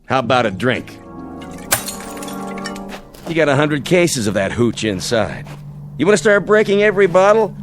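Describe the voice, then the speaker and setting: A cocky, sneering military major. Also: male voice, fairly high pitch, very animated, moderate pace, pauses often. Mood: taunting. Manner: sarcastic, taunting, theatrical. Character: cocky, mocking military major, a swaggering intruder. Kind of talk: radio drama acting. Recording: radio drama with music underneath.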